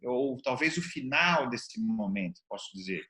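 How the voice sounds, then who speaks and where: A man's voice: medium pitch, animated, unhurried, sharp tone. Brazilian man explaining, in an echoey room. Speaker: interview show host. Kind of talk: conversational discussion. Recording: roomy, echoing sound.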